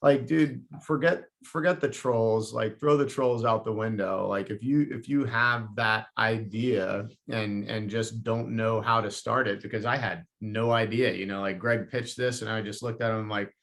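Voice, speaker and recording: A man who co-owns a business, speaking as a podcast guest in halting casual chat with many restarts. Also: on the low side, steady tone, creaky, a bit bright. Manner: halting, repeats words.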